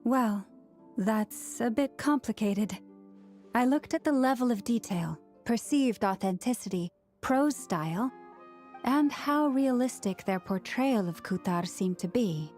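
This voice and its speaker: A woman's voice: fairly high pitch, expressive, unhurried, darkish tone. A woman playing a composed, earnest, scholarly priestess in a video game, speaking formally and precisely. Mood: thoughtful. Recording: music behind the voice. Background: background music.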